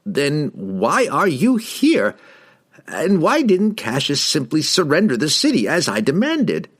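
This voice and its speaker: A male narrator performs an arrogant evil wizard from a fantasy audiobook. He is imperious and demanding, stretching his words slowly in a raised, husky voice that swings widely in pitch. Every line carries menace and self-importance. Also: huge pitch swings, heavily acted. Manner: slow, imperious, pressing.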